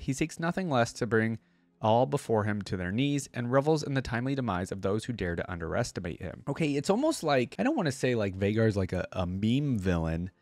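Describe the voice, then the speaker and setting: A young man reading lore aloud and commenting casually, with expressive reading and conversational asides, close to a desk microphone. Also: medium pitch, no room sound. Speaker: reaction video host. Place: indoor streaming setup. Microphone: close desk microphone on a boom arm.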